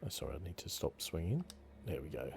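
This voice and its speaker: A soft-spoken, low-key man giving casual ASMR commentary while he plays a video game. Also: big pitch swings, fast talker, vocal fry, a little hiss or hum. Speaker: gaming channel host. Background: video game sound.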